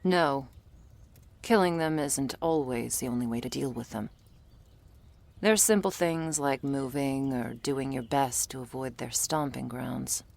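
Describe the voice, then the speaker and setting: Woman acting a very low, gravelly female monster hunter. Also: a little slow, darkish tone, heavily acted. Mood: wary, explaining. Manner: blunt, sardonic, swearing. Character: jaded, prickly female monster hunter, guarded and defiant. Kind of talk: roleplay audio drama addressed to the listener.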